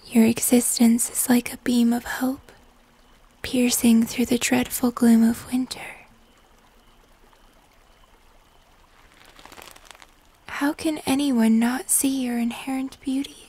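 A young woman playing a gentle, melancholic moth-human creature of the woods, speaking in a slow, tender, breathy whisper for fantasy ASMR. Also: on the high side, flat delivery. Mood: tender, admiring. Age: young adult. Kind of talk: cinematic fantasy ASMR roleplay.

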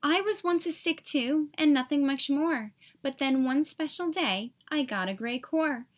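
A woman voicing a friendly, reassuring male pencil in a gentle, encouraging manner. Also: high-pitched, measured pace. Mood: proud, friendly.

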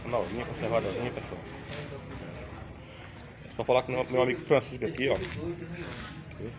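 Um homem nordestino brasileiro gravando um vlog casual numa feira de motos ao ar livre, falando com entusiasmo e repetindo os preços. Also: male voice, medium pitch, steady tone, normal speed, crowd noise. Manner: chatty, enthusiastic, repeats prices back. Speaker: vlogger filming a motorcycle market. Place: outdoor motorcycle fair.

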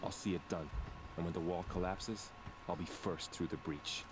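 Man acting a deep-voiced young warrior. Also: steady tone, moderate pace, background noise, natural voice. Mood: resolute. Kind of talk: video game voice acting. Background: game music.

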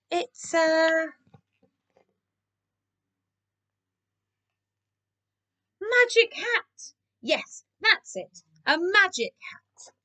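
Woman as shy boy cat in a picture book: high, squeaky, flustered, sheepish, bluffing about magic. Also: a bit bright.

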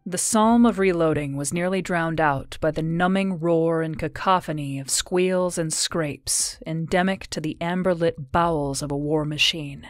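Slow, even-toned woman narrating dark fiction. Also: low-pitched, no echo, studio quality. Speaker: audiobook narrator. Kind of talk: dramatic scripted fiction narration. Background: music behind the voice.

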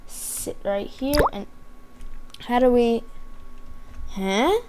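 A woman's voice: fairly high pitch, animated, drawn-out words. A woman doing casual gameplay commentary, thinking aloud to herself, with a voice that is starting to give out. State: losing her voice, often clearing throat. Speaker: gamer hosting a gameplay video. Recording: background hiss, keyboard and mouse clicks.

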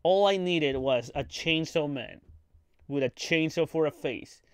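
A man chatting through a live reaction stream, giggly and rambling, with crude jokes. His voice sits a little high for a man and sounds dry and close, with almost no room around it. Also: a little slow, clear, resonant tone, no echo. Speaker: streamer reacting to anime trailers.

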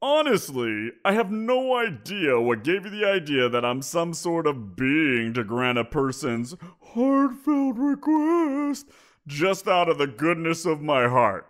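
A man voicing a greedy, teasing giant magical creature in an audio roleplay, talking in a playful, drawn-out way. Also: on the high side, very animated. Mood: smug.

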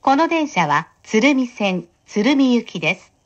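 A woman's voice: very animated, a little slow. A woman train announcer reading scripted station announcements in a polite, formal, carefully enunciated manner. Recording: dull train PA with clear rumble. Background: train running noise.

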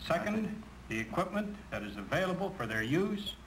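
A man playing a methodical American railroad official, speaking scripted, deliberate 1950s training film dialogue. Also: a little high, big pitch swings, fairly bright tone. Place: conference room. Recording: noisy 1950s film soundtrack.

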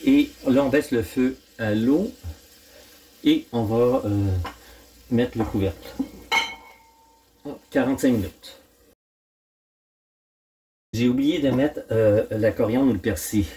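A middle-aged man, hosting a casual cooking demonstration at home and thinking aloud with frequent pauses. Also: mid-range voice, mellow. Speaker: home cooking channel host. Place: home kitchen, indoors.

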